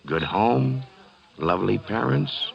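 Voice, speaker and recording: An actor playing a middle-aged, smooth-talking former police chief with an American accent in a 1940s radio drama, folksy, coaxing and insistent. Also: male voice, lowish voice, lively intonation, takes it slowly, exaggerated voice. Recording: dull old 1940s radio recording.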